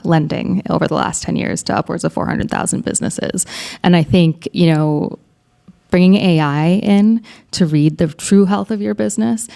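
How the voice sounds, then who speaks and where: A woman speaking as a company representative on a panel, pitching in an informal, conversational way and checking in with listeners. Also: gravelly, a little mellow.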